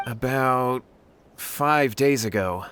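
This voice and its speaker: A man's voice: mid-range voice, takes it slowly, fairly bright tone, a little hiss or hum. A man voicing an earnest, anxious young martial artist in an anime video game, respectful and easily flustered. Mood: worried.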